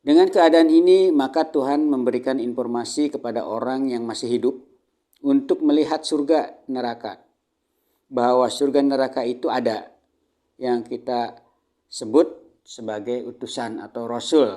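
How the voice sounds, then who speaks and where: Measured Indonesian man lecturing, mellow voice. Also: medium pitch. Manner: measured, didactic, phrase-by-phrase delivery with frequent throat clearing. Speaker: religious lecturer. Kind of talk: Islamic sermon, delivered as a prepared lecture.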